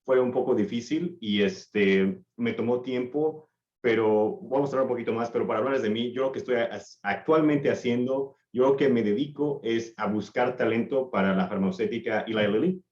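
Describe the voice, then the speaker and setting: A man in his thirties speaking as a panelist on a video call, warm and rambling, with many restarts. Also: mid-range voice, normal speed. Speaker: panelist, recruiter. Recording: echoey, dull video-call audio.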